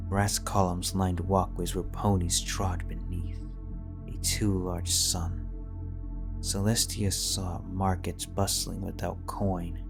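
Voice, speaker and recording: Deep, flat-voiced male narrator. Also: creaky. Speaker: audiobook narrator. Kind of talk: scripted fanfiction narration. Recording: soft background music.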